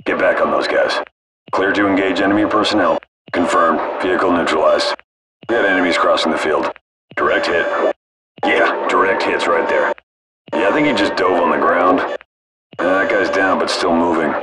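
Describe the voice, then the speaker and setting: A man's voice: deep voice, moderate pace. A man playing a cool, detached military gunship crewman, giving clipped, casual callouts through a radio filter. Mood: calm, focused. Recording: noisy military radio with engine drone.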